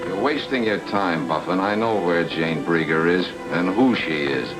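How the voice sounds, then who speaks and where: An actor playing a cynical, unflappable private detective in a noir radio drama, with dry, clipped, sardonic delivery. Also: male voice, low voice, normal speed, lightly acted. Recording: old-time radio drama, music underneath.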